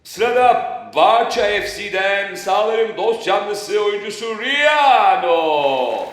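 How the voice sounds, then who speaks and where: A man's voice: high voice, animated, extremely slow, fairly bright tone, soft background music, full character voice. A man voicing a formal, showy award ceremony announcer in a cartoon dub. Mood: grand. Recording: very echoey hall, crowd, faint noise.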